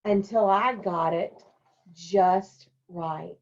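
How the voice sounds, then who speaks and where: A woman reading a children's storybook aloud and talking to young pupils in simple, deliberate phrases. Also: fairly low pitch, a little mellow, lo-fi sound. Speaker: elementary school staff member reading a picture book to children.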